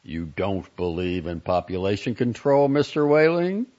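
Lofty centuries-old doctor, played by a male narrator: emphatic, oratorical, zealous, widely swinging pitch, storybook reading with a gravelly edge. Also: sing-song, measured pace, darkish tone, highs cut off, exaggerated voice. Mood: severe, lecturing.

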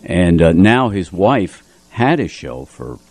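A middle-aged man chatting casually as a community radio host, pausing to recall details as he reminisces. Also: deep voice, big pitch swings, darkish tone. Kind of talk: casual studio conversation. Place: radio studio, dry sound.

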